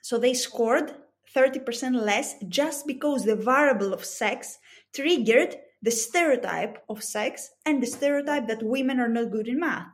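A woman's voice: fairly high pitch, a little slow. A woman with a Greek accent giving methodical, explanatory answers with examples as an interview guest. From Greece. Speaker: interview guest, business coach and psychology expert.

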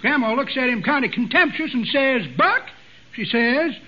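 A man's voice: extremely high pitch, lively intonation, normal speed, sharp tone, exaggerated voice. A man playing a folksy old male tall-tale teller in a 1940s radio comedy, drawling and chuckling, on a dull old radio recording. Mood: folksy yarning. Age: older.

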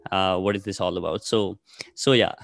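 A man's voice: deep voice, fairly even intonation, moderate pace, vocal fry, dry recording. A man in his thirties from Nepal, whose first language is Nepali, answering interview questions casually with many fillers and restarts. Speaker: podcast host, guest here.

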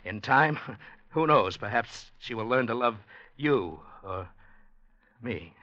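A man's voice: medium pitch, animated, slow pace, pushed and bright, no echo. An actor playing an anxious lovelorn man in a radio drama, earnest, stammering and pleading, in 1940s radio style. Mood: nervous. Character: anxious lovelorn man trapped among sinister secret dwellers. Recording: dull vintage radio recording with music.

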